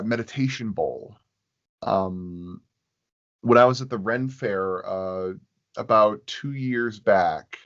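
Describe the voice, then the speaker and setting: Low-pitched male guest, halting, lo-fi recording. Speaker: sound designer, podcast guest. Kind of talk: casual interview chat. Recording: dull, lo-fi audio.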